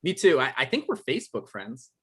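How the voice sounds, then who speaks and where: A man's voice: mid-range voice, wildly swooping pitch, fairly quick, fairly bright tone, breathy edge, exaggerated voice. An actor playing an awkward, evasive novelist in his thirties, stammering through naturalistic comedic dialogue in a table read. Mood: sheepish. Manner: hesitant, stammering. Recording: online live-stream table read.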